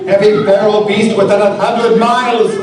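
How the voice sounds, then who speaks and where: Man playing a bossy, high-pitched colonial take-charge type. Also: flat delivery, moderate pace, over a noisy crowd, lightly acted. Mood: urgent alarm. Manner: commanding, impatient, theatrical. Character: hard-headed, bossy colonial man taking charge in crisis. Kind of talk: live horror radio drama acting. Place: live radio theater stage. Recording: very echoey hall, distant mic, audience noise.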